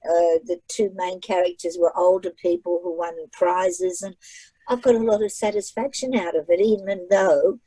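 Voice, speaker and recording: Chatty emphatic woman author, mellow dry voice. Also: fairly low pitch, moderate pace, husky, no room sound, rough recording. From migrated to Australia at age nine. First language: not English. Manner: chatty, emphatic, repeats phrases for effect. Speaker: author and guest speaker. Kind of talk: casual online library talk.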